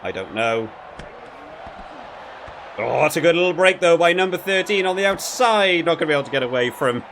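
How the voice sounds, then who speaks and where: A man giving casual, critical gameplay commentary, thinking aloud in a halting way and repeating words as he goes. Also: a little high, animated, a bit bright, a little hiss or hum. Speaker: gaming video creator reviewing while playing.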